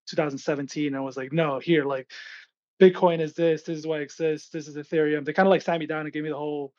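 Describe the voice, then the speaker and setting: A man with a slightly high voice speaks casually as an interview guest, explaining in an informal, filler-heavy way. His intonation stays quite flat. The recording is dry and somewhat dull, like lo-fi online audio. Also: fast. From born and raised in Venezuela, grew up in United States. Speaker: guest, artist collective founder.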